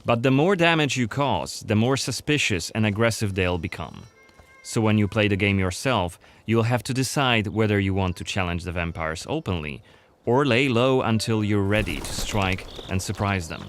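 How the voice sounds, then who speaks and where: A man's voice: on the low side, moderate pace. A man presenting a game demo in a scripted narration style. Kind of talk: scripted gameplay showcase narration. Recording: music under the voice. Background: background music.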